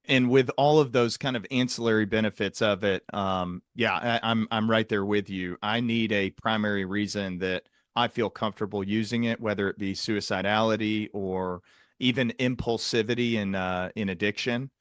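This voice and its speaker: Male podcast host, low pitch, dry close sound, conversational, thoughtful, halting, clinical vocabulary. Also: fairly even intonation, clean tone, no room sound.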